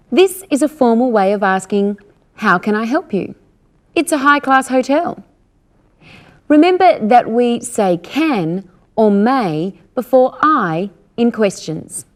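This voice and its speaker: A woman presenting a scripted English lesson with careful, instructional delivery. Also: fairly high pitch. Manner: didactic, careful articulation.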